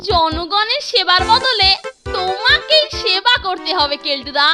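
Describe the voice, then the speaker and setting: A woman voicing a lively, teasing young boy in a cartoon. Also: very high-pitched, a little slow. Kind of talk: animated cartoon dubbing. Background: cartoon background music.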